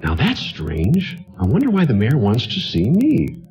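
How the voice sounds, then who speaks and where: An actor playing a kindly, tired, flustered widowed talent agent in a radio play set in the 1940s, speaking in a hesitant, rambling way. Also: male voice, mid-range voice, huge pitch swings, a little slow, warm tone, dull audio, faint music behind. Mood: puzzled, polite.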